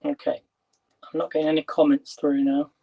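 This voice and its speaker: A man's voice: on the high side, flat delivery, brisk, mellow. Chatty man on a dull lo-fi livestream. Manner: chatty, rambling, restarts questions to viewers. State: losing his voice. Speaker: livestream host. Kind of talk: casual live-stream chat.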